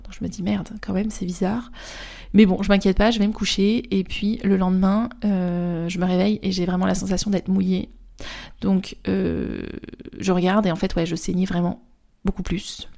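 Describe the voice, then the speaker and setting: Young french woman telling her story casually, lots of euh and restarts, kinda flat monotone delivery. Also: mid-range voice, vocal fry. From France. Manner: rambling, many fillers and restarts, slangy. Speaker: spiritual therapist and vlogger.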